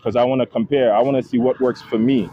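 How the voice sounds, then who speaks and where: A young man from Haiti talks easily as a guest, repeating words and leaning on fillers. His voice sits at a middle pitch. The recording is dull and lo-fi, with a faint hiss behind him. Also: steady tone, quick pace. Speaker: travel content creator, guest. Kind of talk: casual interview chat. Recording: dull lo-fi sound, faint noise.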